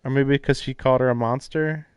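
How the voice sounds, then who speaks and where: A man's voice: mid-range voice, steady tone, brisk, clear, resonant tone, dry recording. A man doing casual livestream commentary and reading aloud, puzzled and musing as he goes. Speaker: livestreamer playing a visual novel. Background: game music.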